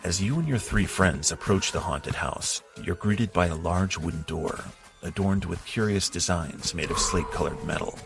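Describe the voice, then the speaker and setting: A man narrates with a very deep, gravelly voice in steady, descriptive tones. Music runs clearly beneath his words. His telling is scripted and measured. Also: poor audio. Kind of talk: scripted second-person narration.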